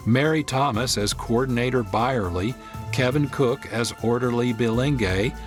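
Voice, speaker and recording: A man announcing scripted radio credits in a measured, clear way. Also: medium pitch.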